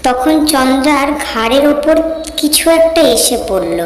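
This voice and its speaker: High-pitched woman narrating horror, heavy reverb. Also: lively intonation, measured pace, a little hiss or hum. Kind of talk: scripted horror story narration. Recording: reverberant echo, music behind.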